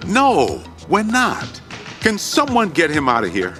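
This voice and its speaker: An actor playing a genial, authoritative boss in a video game, speaking formally with dry humour. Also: male voice, fairly high pitch, huge pitch swings, a little slow, over music. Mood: proud, genial. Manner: formal, dryly humorous. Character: wry, genial, authoritative male company boss.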